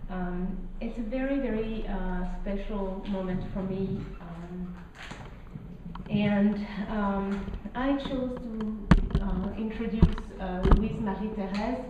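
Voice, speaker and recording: A woman's voice: medium pitch, slow pace, noisy background. French-accented woman lecturing in a roomy gallery. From France. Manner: friendly, hesitant, many fillers. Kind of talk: public lecture. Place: small gallery room with guests. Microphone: handheld mic, far camera. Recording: roomy, distant, dull lo-fi.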